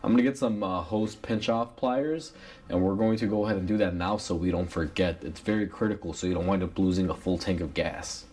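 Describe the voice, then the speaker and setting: A man with a cold and a raspy voice, talking casually through a repair step by step with plenty of fillers. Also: low-pitched, fairly quick. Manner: relaxed, rambling with many uh fillers. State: has a cold. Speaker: mechanic demonstrating a repair. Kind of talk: casual how-to tutorial narration.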